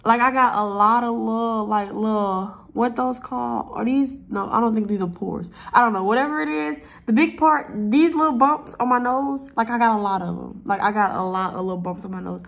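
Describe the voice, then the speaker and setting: A woman's voice: a little high, fairly even intonation, fairly quick, gravelly, hardly any pauses. A young woman with a stuffy, nasal voice talks at home. She rambles on in a blunt, chatty, profane way while she does her makeup for a vlog. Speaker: vlogger. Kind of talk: casual makeup tutorial vlog.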